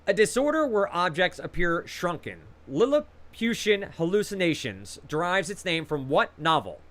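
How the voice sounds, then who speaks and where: A male quiz host with a bright, fairly high voice that moves around a lot as he reads questions. He chats casually between rounds and encourages the players over a video call. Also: big pitch swings, normal speed, a little hiss or hum.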